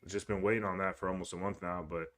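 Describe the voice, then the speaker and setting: Laid-back young man with a very deep voice. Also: little pitch movement, fast talker, a bit bright. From Cuban heritage. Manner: laid-back, rambling, swears often. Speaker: podcast co-host. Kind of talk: casual chat.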